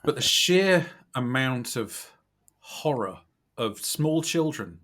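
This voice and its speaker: A British man with a slightly scratchy, croaky voice, chatting casually as a podcast guest in a wry, self-deprecating, animated way. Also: mid-range voice, takes it slowly. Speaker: podcast guest, recruitment company director. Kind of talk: casual chat.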